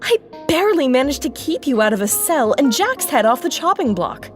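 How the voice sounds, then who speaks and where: A woman's voice: high voice, very animated, a little fast, faint music behind. A woman playing a dutiful elder sister and town official in an audio drama, firm and earnest. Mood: stern.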